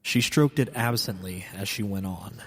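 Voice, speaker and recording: A man's voice: lowish voice, normal speed, breathy edge. A man reading a scripted first-person horror story as a solemn, literary narrator. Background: music.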